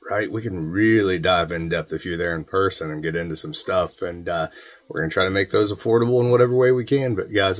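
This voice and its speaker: A middle-aged man talking casually to his viewers in a folksy, informal way, as host of a video channel. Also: on the low side. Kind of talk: casual talking-head vlog. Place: small cluttered room at home, indoors.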